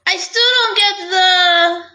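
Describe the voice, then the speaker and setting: Woman acting a high-voiced police inspector. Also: flat delivery, slow, sharp tone, slight background noise, heavily acted. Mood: familiar. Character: easygoing male police homicide inspector, detective's old pal. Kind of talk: amateur old-time radio detective drama.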